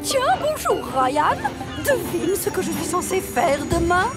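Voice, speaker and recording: A vain, excitable diesel railcar for a children's cartoon. She takes her time in a high, very animated voice, gushing and showing off with theatrical self-importance. Music plays behind her. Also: a little slow, over a noisy crowd, full character voice. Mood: boastful. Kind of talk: dubbed children's cartoon acting.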